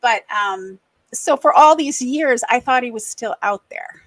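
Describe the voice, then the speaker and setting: A middle-aged woman from the American Midwest, hosting a podcast and speaking candidly and reflectively, often pausing and restarting. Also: fairly high pitch, animated, fairly bright tone, no room sound, rough recording. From Racine, Wisconsin, USA. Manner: earnest, reflective, halting. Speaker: podcast host. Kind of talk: candid personal conversation.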